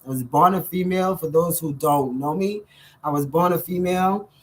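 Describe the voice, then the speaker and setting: A Bahamian man vlogging casually to his viewers, effusive, full of thanks and shout-outs, with frequent ums. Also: a little high, moderate pace. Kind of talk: casual direct-to-camera vlog.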